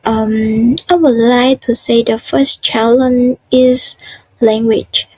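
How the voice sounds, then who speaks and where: A young woman from southern Vietnam answers questions in earnest, simple English with a Vietnamese accent. She talks slowly and haltingly, with many ums and restarts. Her voice is a little high and sounds close and dry. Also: steady tone, a little mellow, no room sound, poor audio. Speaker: interviewee.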